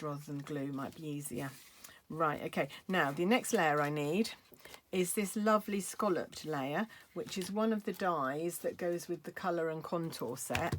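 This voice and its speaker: A middle-aged woman with a low, slightly croaky voice talks through a card-making demo. She is chatty, thinking aloud as she works at her craft table. A faint hiss and the odd click sit under her voice. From United Kingdom. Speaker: craft demonstrator. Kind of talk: casual craft tutorial.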